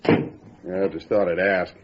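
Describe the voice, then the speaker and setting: A man's voice: deep voice, flat delivery, measured pace. A man playing a folksy, teasing small-town lawman in an old-time radio drama. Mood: wry, teasing. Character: folksy, teasing male lawman, deep-voiced desk colleague. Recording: dull lo-fi radio drama recording, faint noise.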